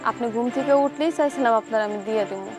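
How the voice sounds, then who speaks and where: A woman plays a dutiful young Bangladeshi daughter-in-law in a village melodrama. Her high voice pleads and protests while staying respectful, over clearly audible background music. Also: very fast, lightly acted. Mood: earnest pleading. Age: young adult. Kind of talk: melodramatic TV drama acting.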